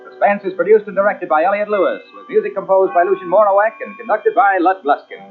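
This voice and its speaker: A man's voice: high-pitched, quick pace, soft background music. A man announcing commercials and credits in mid-century radio style, with an emphatic, polished sales delivery. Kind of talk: 1940s-50s radio advertising announcing. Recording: tinny old radio broadcast recording.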